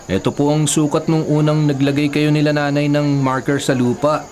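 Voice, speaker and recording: An actor playing an earnest, respectful young nephew with a Filipino accent in a radio drama, polite and matter-of-fact. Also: male voice, a little high, level tone, normal speed, darkish tone, natural voice. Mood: polite concern. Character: earnest, respectful young nephew looking after family land.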